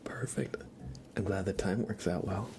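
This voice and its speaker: A man doing casual ASMR chat as a live streamer, murmuring and whispering softly and gently, with trailing pauses. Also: fairly even intonation, background noise. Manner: soft, breathy whispering and low murmuring.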